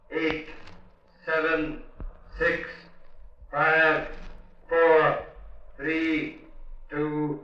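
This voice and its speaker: Stern colonel on a far-off speaker, very slow flat commands, heavy echo, old radio. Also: male voice, fairly high pitch. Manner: commanding, measured, monotone. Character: stern military colonel, official test guide. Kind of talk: loudspeaker countdown, 1950s radio acting. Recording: echoing distant loudspeaker, dull old recording.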